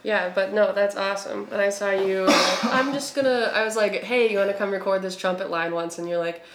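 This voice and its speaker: A woman from Memphis chatting on a podcast, excitable and teasing. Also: mid-range voice, brisk, over music. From Memphis, USA. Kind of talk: casual podcast chat. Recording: echoey room with clear noise.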